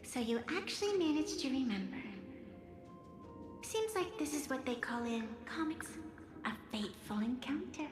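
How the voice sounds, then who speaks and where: Playful high-pitched fox girl. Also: animated, normal speed, reverberant, music in the background. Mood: teasing, coaxing. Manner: teasing, coaxing, theatrical. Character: playful, teasing, mysterious young fox-girl. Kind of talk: video game character dialogue.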